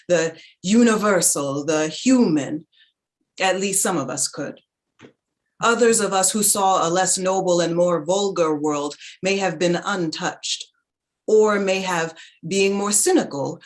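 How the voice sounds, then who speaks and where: A woman giving a dramatic reading of a literary essay in a measured, reflective, articulate manner. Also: on the low side. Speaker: reader in a staged reading.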